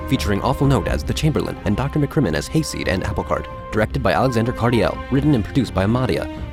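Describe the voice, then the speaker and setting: A man announcing the credits of a radio drama in a scripted style. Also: lowish voice.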